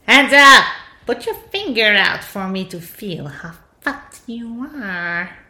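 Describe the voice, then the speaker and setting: Wicked old storybook witch: piercing, scornful, slow and menacing, wildly varied pitch. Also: sing-song, full character voice. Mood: bossy, scolding. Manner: drawn-out, loud, scolding delivery. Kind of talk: fairy tale storybook reading. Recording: echoey room.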